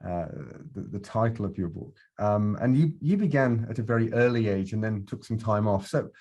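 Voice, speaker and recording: Husky-voiced male podcast host. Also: on the low side, normal speed, warm tone. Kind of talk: podcast interview.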